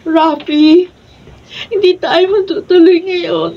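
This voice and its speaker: A woman's voice: very high-pitched, fairly even intonation, slow, gravelly, heavily acted. An actress playing an earnest young college woman, a devoted daughter and girlfriend, in a Filipino-accented radio drama. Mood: tearful. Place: radio drama studio, picked up from afar. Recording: distant, dull, noisy room camera recording.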